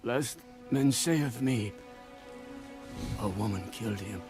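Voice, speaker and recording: An actor playing a proud dying warlord in an audio Bible drama, halting and strained as he gives orders. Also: fairly low pitch, loud music behind the voice. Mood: agonized.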